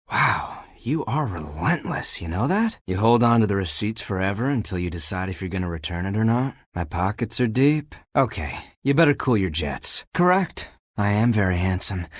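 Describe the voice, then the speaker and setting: Sassy vain talking clothes dude for a game, kinda low, really swoopy delivery, teasing and easily wounded. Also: male voice, no room sound, studio quality. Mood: sassy, softening. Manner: sardonic, teasing, expressive. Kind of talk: video game character dialogue.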